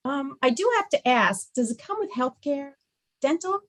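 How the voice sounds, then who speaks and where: A woman plays a middle-aged mom in a comedy script reading. Her voice is high and very animated, polite and a touch defensive. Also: normal speed. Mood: hesitant, defensive. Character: middle-aged suburban mom, polite but quick to deflect blame. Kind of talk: comedy script table read.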